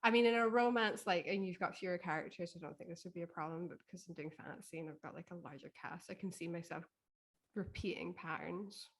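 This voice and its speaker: A woman talking casually as a guest, thinking aloud in a halting, self-deprecating way as she asks for advice. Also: lowish voice, slightly rough. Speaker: guest, fiction author. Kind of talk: casual chat, asking for advice.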